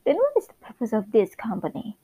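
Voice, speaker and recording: A woman's voice: fairly high pitch, huge pitch swings, brisk, warm tone, breathy edge, no echo, exaggerated voice. A woman playing a polite, flustered male android office worker who speaks in formal, pedantic phrases. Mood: earnest.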